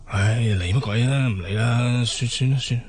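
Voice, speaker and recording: An actor playing a sheepish, exasperated young husband in a Cantonese radio drama, stammering and sighing with a Hong Kong accent. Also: male voice, mid-range voice, normal speed, slightly rough, natural voice. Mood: deflated. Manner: stammering, sighing, repeats himself.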